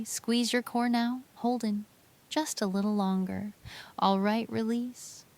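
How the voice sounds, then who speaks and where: A woman narrating a scripted guided sleep meditation, giving gentle, soothing, unhurried instructions. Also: fairly high pitch.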